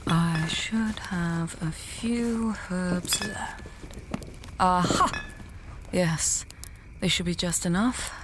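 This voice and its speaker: Low-voiced, thoughtful scholarly mage played by a woman. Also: background noise. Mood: thoughtful, practical. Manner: measured, thinking aloud. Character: earnest, scholarly female mage, thoughtful and dryly testy. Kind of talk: fantasy audio drama acting.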